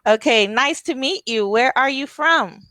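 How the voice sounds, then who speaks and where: A fairly high-voiced woman teaches English over a video call, taking her time with each word. Her questions come slow and patient. The sound is close and dry, with no room around it. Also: expressive, pushed and bright, no room sound. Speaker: online English tutor. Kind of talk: casual tutoring conversation.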